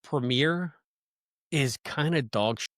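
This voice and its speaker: A man's voice: medium pitch, slow pace, a bit bright, no room sound, clean studio sound. A man co-hosting a podcast in casual chat, rambling and playful, laughing often. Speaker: podcast co-host.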